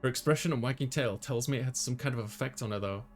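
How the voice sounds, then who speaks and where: A man's voice: mid-range voice, fast. A man hosting a gaming video, reading visual novel text aloud and adding casual asides.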